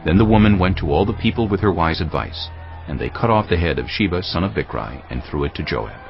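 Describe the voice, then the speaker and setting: Deep-voiced male Bible narrator, expressive. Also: fairly quick. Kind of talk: scripted dramatized Bible narration. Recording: dull band-limited audio with music bed.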